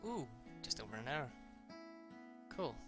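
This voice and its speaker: A man reading a visual novel aloud in a halting, informal, sweary way, with casual asides. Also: mid-range voice, sing-song, over music. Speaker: amateur reader of a visual novel.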